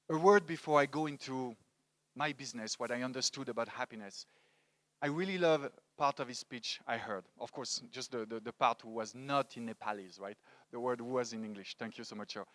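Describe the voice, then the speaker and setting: A Frenchman in his forties gives a motivational talk from a conference stage. His accented English comes out in a bright, forceful voice, halting and then leaning on repeated words for emphasis. The handheld mic keeps the sound close and dry. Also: medium pitch, no echo. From France, grew up in Paris. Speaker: conference speaker, storytelling coach. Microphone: handheld microphone.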